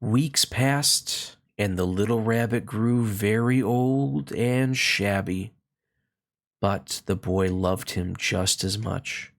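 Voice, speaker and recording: A storybook reader narrating a children's tale aloud in an expressive read-along style. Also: medium pitch, takes it slowly, vocal fry.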